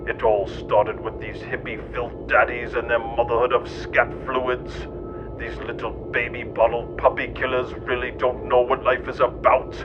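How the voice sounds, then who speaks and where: A man's voice: little pitch movement, normal speed. A man narrating a satirical scripted monologue in a scornful, ranting manner. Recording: dull, noisy lo-fi recording with rumble.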